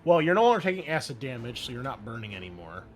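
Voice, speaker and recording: Male game master, medium pitch, casual and thinking out loud, music underneath. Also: sing-song, fast talker. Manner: casual, thinking aloud through rules. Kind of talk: running a tabletop roleplaying game. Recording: music behind.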